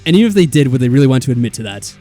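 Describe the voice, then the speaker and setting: A man's voice: medium pitch, very animated, racing, warm tone. A man reading a visual novel aloud as a let's player, dropping in wry, exasperated casual asides. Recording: background music.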